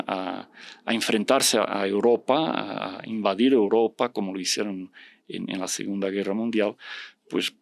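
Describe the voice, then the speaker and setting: A man whose first language is Portuguese talks in a fairly low voice, thoughtful and earnest, as he explains things in conversation. He hesitates often, trailing off into fillers and restarting phrases as he searches for words. The sound is close and dry, with no room around it. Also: vocal fry, no echo. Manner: reflective, earnest. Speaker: podcast guest discussing religious prophecy.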